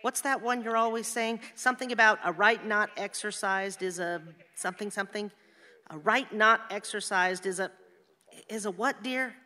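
An actress playing an exasperated, sharp-tongued older wife who teases and nags sardonically in a radio play. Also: female voice, mid-range voice, normal speed, in a large hall, natural voice. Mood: teasing, sardonic. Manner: sardonic, nagging, outspoken.

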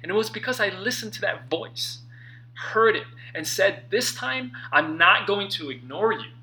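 Male self-help author-narrator: lively swings in pitch, sharp intense tone, emphatic dramatic pauses. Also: rough recording. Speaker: author reading own book.

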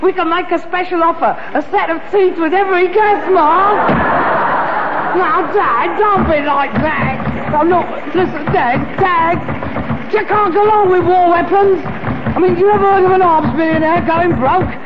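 A man's voice: very high-pitched, measured pace, over a noisy crowd. An actor playing an aspiring, defensive rag and bone man son with a London accent in a vintage British radio sitcom, indignant and pleading. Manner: indignant, wheedling. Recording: muffled noisy old radio recording, audience.

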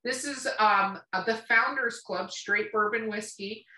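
A woman's voice: medium pitch, measured pace, bright, forceful tone, echoey room, far from the mic, poor audio. A woman hosting a casual podcast, playful, rambling and sweary, sounding a little tipsy. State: tipsy from drinking. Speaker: podcast host. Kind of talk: casual, joking podcast chat with sponsor reads.

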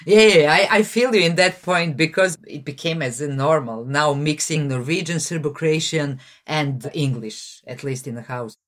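An interviewer with a mid-pitched voice asks casual questions. The voice sounds engaged and encouraging as it reacts to the guest's answers. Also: moderate pace, few pauses, a bit bright.

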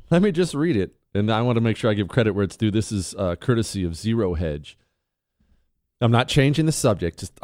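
A man's voice: medium pitch, darkish tone, no echo, clean studio sound. An American man hosting political talk radio, delivering an opinionated monologue in an emphatic, dramatic manner, leaning on rhetorical questions and repetition. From United States. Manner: emphatic, dramatic, slowing down on key words.

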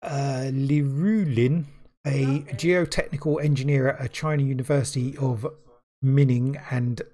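A man's voice: mid-range voice, fairly even intonation, measured pace, mellow. A man co-hosting a podcast, reading a news story aloud and stumbling over words, then chatting casually. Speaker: podcast co-host.

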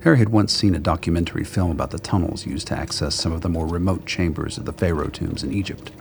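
A man with a gravelly voice reading scripted dramatic story narration. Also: low voice, fast, slight background noise.

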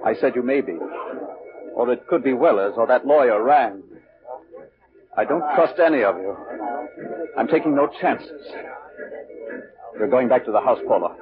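A man's voice: medium pitch, expressive, normal speed, pauses often, crowd noise, lightly acted. A man playing a greedy, domineering, suspicious husband with a harsh, commanding manner in a 1940s radio mystery. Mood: angry, commanding. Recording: scratchy, muffled 1940s radio recording.

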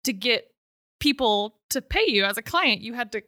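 A woman's voice: a little high, expressive, normal speed, sharp tone, no echo, clean studio sound. A young woman in her twenties hosting a podcast, asking questions in an upbeat, encouraging way. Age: 20s. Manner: upbeat, encouraging, laughs along. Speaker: podcast host. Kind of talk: friendly podcast interviewing.

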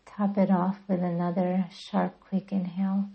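A woman guiding a meditation as a podcast host, speaking gently and deliberately in a soothing, breathy voice. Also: fairly low pitch, level tone, highs cut off. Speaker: yoga nidra guide and podcast host. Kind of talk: guided meditation narration.

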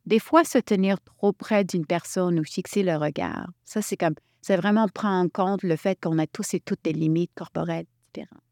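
A woman from Quebec leading a workshop, talking in an animated way and restarting her sentences often. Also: lowish voice, normal speed, vocal fry, dry recording, studio quality. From Quebec, Canada. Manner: animated, thinks aloud with many restarts. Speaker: workshop facilitator. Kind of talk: workshop talk.

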